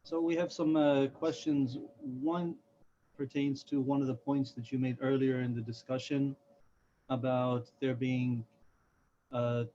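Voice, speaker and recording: A man moderating, formally relaying questions with polite, careful, deliberate phrasing, heard on a muffled, noisy recording. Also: medium pitch, flat delivery, warm tone.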